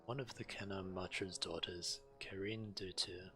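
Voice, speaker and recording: A man's voice: low voice, steady tone, unhurried, bright, forceful tone, faint music behind. A man reading quest text aloud and commenting as he streams a fantasy game.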